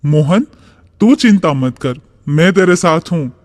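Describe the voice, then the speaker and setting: Man playing a slow-talking, reassuring loyal friend. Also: a little high, lively intonation. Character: kind, reassuring childhood friend, a generous man. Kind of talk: cartoon story dialogue. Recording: long reverb.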